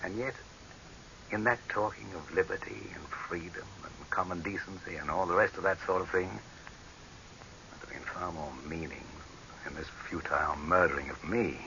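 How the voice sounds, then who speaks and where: A proud, cynical tyrant in an old radio horror play. His low voice is hard-edged and declamatory, grandly theatrical in the 1930s manner. The narrow-band recording carries its age. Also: moderate pace, gravelly, pushed and bright, a little hiss or hum, exaggerated voice. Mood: scornful, lecturing. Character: tyrannical, proud male dictator, cynical and desperate. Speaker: radio drama actor.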